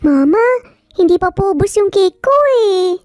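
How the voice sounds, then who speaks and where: A woman's voice: very high voice, normal speed, dark tone, no room sound, clean studio sound, faint music behind, pitched above the performer's own voice. A woman voicing a cute, polite little boy in a dramatized story, with a childlike manner. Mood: pleading.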